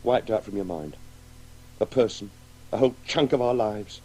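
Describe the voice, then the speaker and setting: An actor playing a grieving father in a radio play, accusing in halting, reproachful phrases. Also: lowish voice, mellow, a little hiss or hum, lightly acted, low-quality recording. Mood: bitter, grieving.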